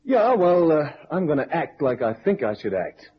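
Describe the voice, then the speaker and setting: An actor playing a stubborn, irritable private investigator in an old-time radio drama, with a brusque, sardonic, halting delivery. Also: male voice, fairly high pitch, big pitch swings, slightly rough. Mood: angry, defiant. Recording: dull vintage radio recording, faint hiss.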